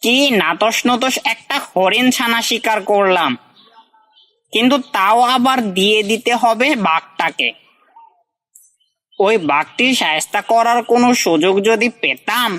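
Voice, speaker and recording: A sly, hungry little cartoon fox, played by a woman, with a forceful, bright voice at an ordinary height. It fawns on the powerful and mutters resentfully to itself, always the scheming underdog. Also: medium pitch, measured pace, natural voice. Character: small, hungry, cunning underdog fox, servile yet resentful. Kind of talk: scripted cartoon dialogue.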